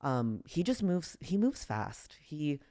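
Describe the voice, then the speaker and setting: Deep-voiced woman telling a story. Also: slow. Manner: relaxed, low-key, acts out quotes with raised voice. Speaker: comedian guest. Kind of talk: casual podcast storytelling.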